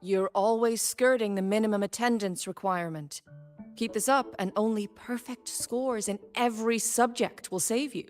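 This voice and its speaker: A woman's voice: mid-range voice, normal speed, a little mellow, lightly acted. A woman voicing a stern, dutiful female academy staff member in an anime-style video game, businesslike and reproving. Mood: exasperated. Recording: music behind.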